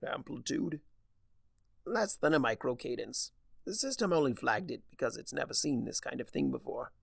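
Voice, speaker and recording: A man acts out a bored male changeling supervisor from a dark fantasy story. He is curt, official and unconcerned. The voice creaks slightly in a dry, close recording. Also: medium pitch, animated, moderate pace, dry recording, heavily acted.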